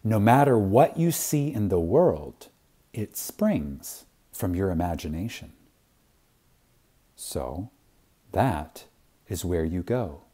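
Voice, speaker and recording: A man reading an inspirational spiritual lecture aloud in a reverent, dramatic way, with long pauses and strong emphasis. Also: low voice, big pitch swings, mellow, breathy edge.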